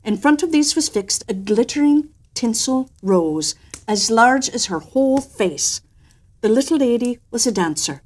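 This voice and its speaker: A woman reading a children's story aloud in an expressive, theatrical storytelling manner, with emphatic swells and softer drops. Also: on the high side. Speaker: storyteller and host. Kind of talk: expressive storybook narration with an in-character personal anecdote.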